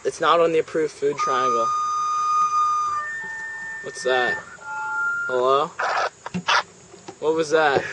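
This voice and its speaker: A teenage male making a deadpan prank phone call in a halting, straight-faced way, recorded outdoors at a table. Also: a little high. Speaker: prank caller. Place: outdoors on a house's back deck. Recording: noisy outdoor camera audio, insects, traffic.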